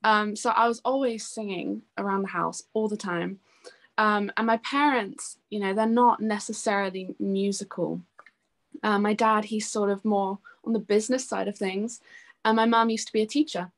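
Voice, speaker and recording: A woman's voice: mid-range voice, fairly even intonation, normal speed. A young woman talking informally as an interview guest, chatty and peppering her sentences with casual filler words. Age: young adult. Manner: chatty, informal, often says "like" and "you know". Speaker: interview guest, singer-songwriter.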